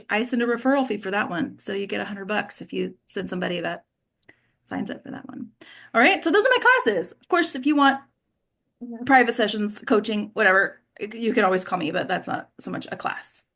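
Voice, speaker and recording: A woman with a creaky, mid-pitched voice hosts an online actors' group. She talks informally and encouragingly, like a coach guiding her students. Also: fairly bright tone. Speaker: host and acting coach. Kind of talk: casual hosting of an online actors' table read. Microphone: computer mic on a video call.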